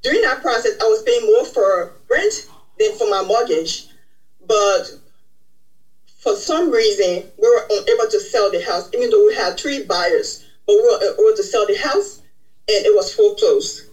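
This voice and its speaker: A middle-aged woman from Liberia speaks as a podcast guest. She is earnest and reflective. Her voice swings freely up and down in pitch, while her overall register sits in a middle range. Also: lively intonation, moderate pace, darkish tone, rough recording. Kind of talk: personal story in interview.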